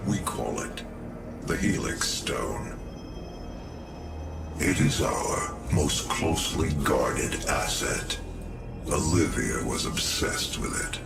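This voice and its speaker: An actor playing a calm, authoritative scientist in a robot body in a video game, speaking in a measured, formal, cold way. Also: male voice, extremely low pitch, gravelly, full character voice. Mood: measured, explanatory. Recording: loud music behind the voice.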